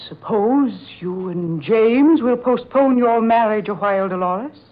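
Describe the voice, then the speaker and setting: An actress playing a stern, domineering older aunt in 1940s radio drama style, husky and imperious. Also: female voice, a little high, measured pace, close to an everyday voice. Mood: cold, imperious. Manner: imperious, foreboding. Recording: dull vintage radio drama recording. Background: organ music.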